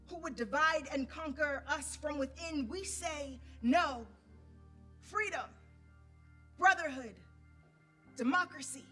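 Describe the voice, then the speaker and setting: Female spoken-word reader, high voice, slow and emphatic, faint music underneath. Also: sharp tone. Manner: deliberate, emphatic, spaced phrases. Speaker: community reader at a podium. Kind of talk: spoken-word poetry recitation. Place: indoor event room at a podium. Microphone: podium microphone on a stand. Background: faint background music.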